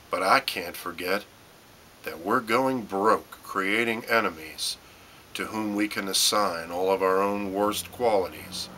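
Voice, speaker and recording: A man reading his own poetry as dramatic spoken word, in deliberate short phrases with pauses and emphatic stresses. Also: low voice, bright, forceful tone, slight background noise. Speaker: poet reading own work.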